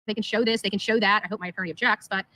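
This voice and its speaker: A woman's voice: mid-range voice, fairly even intonation, very fast, pushed and bright, no echo, rough recording. A woman livestreamer speaking in an emphatic, indignant and repetitive way as she vents. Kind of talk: live stream monologue, venting.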